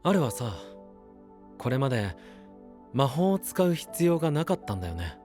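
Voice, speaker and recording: An actor playing a kind, easygoing young knight in an anime voice drama, talking in soft, casual, friendly phrases. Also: male voice, mid-range voice, big pitch swings, moderate pace, natural voice. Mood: gentle, reassuring. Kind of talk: Japanese anime voice drama acting. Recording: clear music under the voice.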